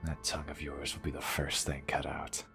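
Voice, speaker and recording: An actor in an audio drama playing a vengeful rebel assassin, slow, ominous and full of cold contempt. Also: male voice, rumbling low, monotone, gravelly, pushed and bright, exaggerated voice. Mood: menacing contempt. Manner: slow, deliberate, ominous, taunting. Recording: dramatic music behind the voice. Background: music.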